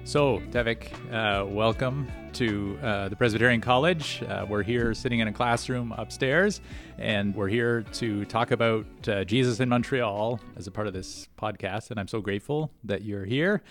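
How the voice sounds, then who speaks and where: A man hosting a podcast interview in a college classroom, speaking in a friendly, halting way with many pauses. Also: lowish voice, faint music behind. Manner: warm, hesitant, many "uh"s. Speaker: podcast host. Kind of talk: conversational interview.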